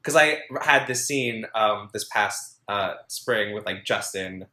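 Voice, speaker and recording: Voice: mid-range voice, takes it slowly, bright, forceful tone, reverberant. A host interviewing a singer in a bubbly, giggly way, with many fillers. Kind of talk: casual interview chat.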